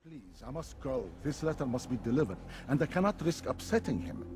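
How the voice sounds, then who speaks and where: A man's voice: medium pitch, sing-song, brisk, music in the background. An actor playing an anxious, agitated townsman in a video game, a fearful man pleading. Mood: frightened, pleading. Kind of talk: video game cutscene dialogue.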